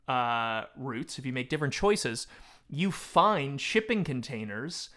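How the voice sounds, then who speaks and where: A man speaking as a podcast panelist in a casual book discussion, retelling things in an animated way. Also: mid-range voice, slow.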